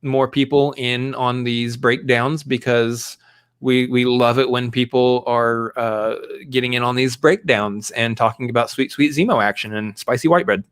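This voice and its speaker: A man's voice: mid-range voice, fairly even intonation, normal speed, fairly bright tone, smooth. A man hosting a casual live stream, joking around and rambling through plugs. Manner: jokey, rambling, upbeat plugging. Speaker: podcast host.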